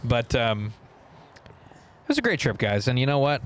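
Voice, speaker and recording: A man co-hosting a casual podcast with friends, excitable, rambling and swearing freely. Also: mid-range voice, normal speed, slight background noise. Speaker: podcast co-host.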